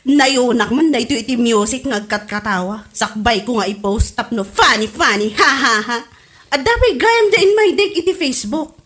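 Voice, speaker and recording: A woman's voice: fairly high pitch, lively intonation, normal speed, a bit bright. A woman acting a gleeful, mocking gossip in a comedy radio drama. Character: gleeful, mocking gossip who taunts election losers.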